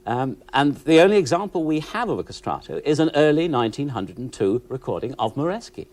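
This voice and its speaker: A male presenter with a mid-pitched voice that rises and falls a great deal. He explains things conversationally, like an expert, with enthusiasm and emphasis. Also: sing-song, fairly quick, a little mellow. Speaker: presenter, recorded music expert. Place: television studio.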